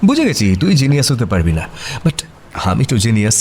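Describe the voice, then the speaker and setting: A cheeky young friend in an audio story. His mid-pitched voice swoops widely as he teases, smug and mock-grand, with faint noise behind. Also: wildly swooping pitch, fairly quick, darkish tone. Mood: teasing, smug. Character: cheeky, self-assured young male friend, teasing playwright. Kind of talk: audio story drama acting.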